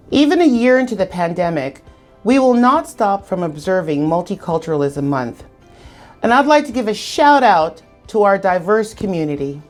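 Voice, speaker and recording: Woman hosting, measured scripted delivery. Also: mid-range voice, a little mellow. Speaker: event host. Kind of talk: scripted introduction.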